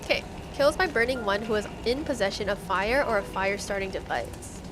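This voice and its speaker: A woman streamer playing a game, reading its text aloud and chatting casually in an excitable, slangy way. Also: mid-range voice, brisk, over music.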